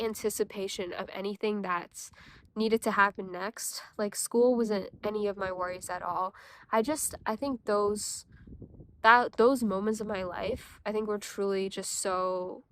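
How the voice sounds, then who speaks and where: A teenage girl from China reflecting in a personal vlog, thoughtful and hesitant, with many fillers and restarts. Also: medium pitch. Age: teens. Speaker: vlogger.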